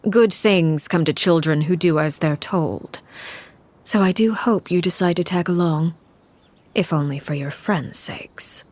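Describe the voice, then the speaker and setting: A woman voicing a cold, commanding matriarch who rules an orphan household, in video game dialogue. She speaks in a calm, measured and quietly menacing way. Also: fairly low pitch. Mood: cool menace.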